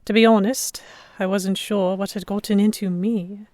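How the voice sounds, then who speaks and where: A woman's voice: medium pitch, steady tone, moderate pace, warm tone, dry recording, clean studio sound, close to an everyday voice. A woman playing a defiant, sheltered young lady held by pirates, narrating an audio drama in first person with articulate, literary phrasing. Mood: reflective. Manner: articulate, literary, reflective storytelling.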